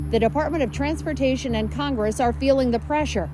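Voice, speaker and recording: A woman with a fairly high voice reads a scripted investigative news report. She speaks as a TV reporter, and music plays clearly behind her narration. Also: a little fast.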